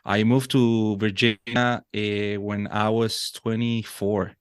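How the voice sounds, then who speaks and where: Puerto Rican man, 30s, native Spanish speaker, earnest podcast guest, lowish voice, dry close sound. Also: flat delivery, takes it slowly, clean tone, no room sound. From Puerto Rico. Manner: earnest, frequent restarts and fillers. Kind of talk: casual interview chat.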